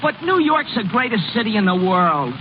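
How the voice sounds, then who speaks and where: An actor playing an eager, exasperated small-town kid brother, talking in an excitable, folksy, needling way in old radio comedy style. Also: male voice, sits high, moderate pace. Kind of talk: 1930s-40s radio comedy acting. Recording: dull, noisy vintage radio recording.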